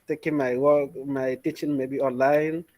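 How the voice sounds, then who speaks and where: A man's voice: medium pitch, little pitch movement, unhurried, a little mellow. A man from Nigeria introducing himself politely to his online class as a teacher. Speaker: teacher and student. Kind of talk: self-introduction. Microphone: video call.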